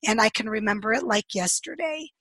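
A woman's voice: a little high, expressive, brisk, a bit bright, slightly rough, dry recording. A woman guest on a podcast, telling a personal story in an earnest, candid way.

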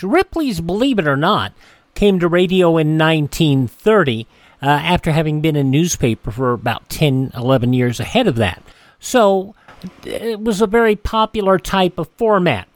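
Relaxed man hosting a classic radio show. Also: sits high, dry recording. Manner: relaxed, conversational, with fillers. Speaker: radio host. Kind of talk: casual show introduction.

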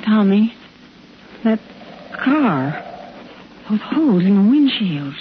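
A woman's voice: mid-range voice, sing-song, slow pace. An actress playing an earnest woman tourist in a 1950s radio drama, with a natural, conversational delivery. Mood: uneasy, insistent. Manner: earnest, hesitant, insistent. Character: camera-keen young woman tourist, earnest and uneasy. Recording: muffled, faintly noisy 1950s radio recording.

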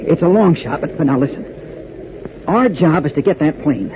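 An actor playing a plucky young American aviator, boyish and earnest, with an American accent, in 1940s radio style. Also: male voice, fairly high pitch, animated, normal speed, natural voice. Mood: urgent, determined. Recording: muffled, noisy 1940s radio recording.

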